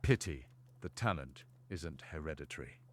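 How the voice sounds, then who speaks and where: A man playing a stern, sardonic potions teacher in a fan audio drama, speaking in a deliberate, condescending way. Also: very deep voice, expressive, a little hiss or hum. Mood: cold, sardonic. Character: stern, sardonic male potions master, cold and exacting.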